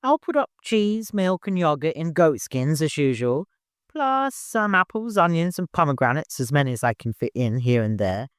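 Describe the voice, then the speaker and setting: A man's voice: fairly high pitch, sing-song, moderate pace, clean tone, no room sound, clean studio sound, voice pushed up. A man voicing a mischievous, teasing old storekeeper in an audiobook. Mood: brisk. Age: older. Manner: teasing, playful, exclamatory. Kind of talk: audiobook character voice.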